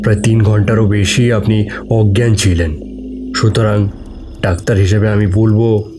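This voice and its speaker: A man playing a firm, formal doctor calmly cautioning a patient in an audio story. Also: fairly low pitch, noisy background, close to an everyday voice. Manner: formal, measured, authoritative.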